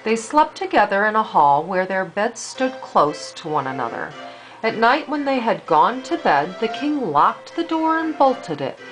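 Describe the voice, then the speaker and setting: Older woman reading slowly, very lively pitch. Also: soft background music, poor audio. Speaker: grandmother reading a storybook. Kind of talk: storybook read-aloud to a child.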